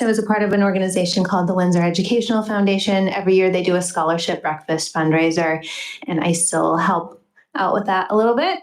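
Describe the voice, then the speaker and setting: A woman's voice: medium pitch, reverberant, low-quality recording. A woman on a school board, making chatty announcements with um fillers and self-corrections at a board meeting.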